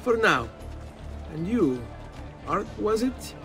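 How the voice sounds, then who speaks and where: A cocky young nobleman from a historical video game, speaking with an Italian accent. His voice sits fairly high for a man and moves with lively, hot-headed swings. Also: slow pace, music in the background, full character voice. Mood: casual, cocky. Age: young adult. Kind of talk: dramatic video game acting.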